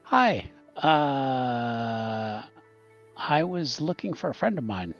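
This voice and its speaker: Man voicing a timid, polite little guy, animated pitch. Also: drawn-out words, lo-fi sound, soft background music, exaggerated voice. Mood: polite, hesitant. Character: polite, timid, affectionate small male friend.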